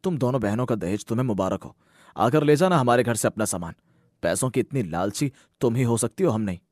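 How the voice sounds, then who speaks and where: A man's voice: medium pitch, animated, fairly quick, darkish tone, no echo, studio quality, natural voice. A man voicing an earnest, dutiful grown son and exasperated husband, speaking emphatically in a Hindi moral story cartoon. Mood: firm, defiant.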